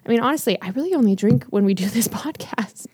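A woman's voice: on the high side, expressive, quick pace, warm tone, a little hiss or hum. A woman co-hosting a podcast, chatting casually and rambling with many fillers and restarts while recommending books. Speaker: podcast co-host. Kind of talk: casual chat about books.

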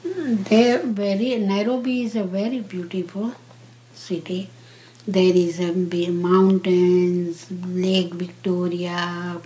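A grandmother in her seventies from Kenya, answering interview questions in halting, simple phrases with pauses. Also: lowish voice, a little mellow, a little hiss or hum, low-quality recording. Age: 70s. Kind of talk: slow casual interview answers.

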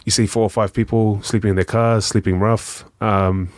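A young man with a New Zealand accent, talking as a podcast guest in a relaxed, rambling way full of fillers. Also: fairly low pitch, moderate pace, dry recording. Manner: relaxed, rambling, lots of "you know" and "um", says "bro". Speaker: guest, charity founder. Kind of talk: casual podcast conversation.

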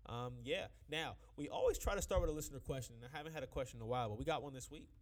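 A young man hosting a hip-hop podcast in casual, slangy chat, a bit sick and sniffly. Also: medium pitch. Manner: laid-back slangy talk, quick and loose. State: under the weather, sniffly. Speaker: podcast host.

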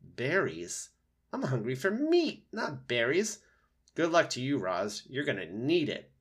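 A man's voice: medium pitch, huge pitch swings, a little slow, a bit bright, husky, voice pushed up, full character voice. Man playing a pushy, vain storybook fox. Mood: pushy, sardonic. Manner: demanding, dramatic.

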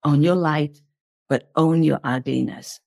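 A woman's voice: low-pitched, a little slow, vocal fry, a little mellow, no room sound, studio quality. A woman speaking as an interview guest, explaining in an impassioned motivational style and repeating phrases for emphasis.

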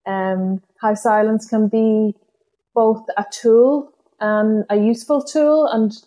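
Irish woman, native Irish speaker, poet guest; reflective, halting delivery with frequent restarts. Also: mid-range voice, warm tone. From Ireland. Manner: thoughtful, hesitant, many restarts and fillers. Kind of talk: reflective interview conversation.

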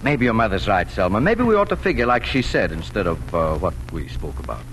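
An actor playing a gentle, wry, easygoing farmer father in a folksy, hesitant way, in 1940s radio drama style. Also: fairly low pitch, sing-song, a little fast, slight background noise, poor audio. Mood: hesitant, sheepish. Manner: hesitant, folksy, murmuring fondly at times. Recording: old radio broadcast with music.

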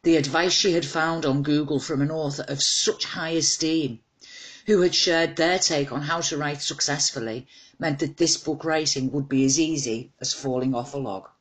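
A woman's voice: sits low, normal speed, husky. Woman reading a wry short story. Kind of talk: scripted storytelling narration.

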